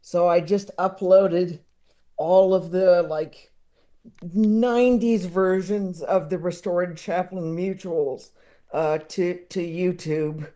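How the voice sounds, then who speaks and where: A man's voice: high voice, fairly even intonation, slow pace, warm tone. A man in his thirties chatting as a podcast guest, rambling and repeating words as he gathers his thoughts. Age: 30s. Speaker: podcast guest, comedy expert. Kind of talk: casual chat.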